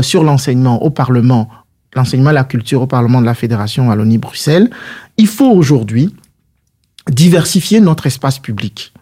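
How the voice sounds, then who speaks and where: A man's voice: medium pitch, warm tone. A man answering interview questions as a political guest, in a didactic, structured and rhetorical way.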